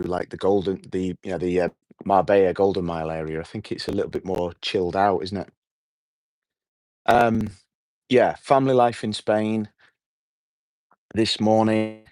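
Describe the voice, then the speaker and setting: A middle-aged man with a hoarse voice, hosting a relaxed, rambling evening livestream outdoors and chatting with his viewers. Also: fairly low pitch, no room sound. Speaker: livestream host. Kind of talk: casual evening livestream chat. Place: outdoors at night.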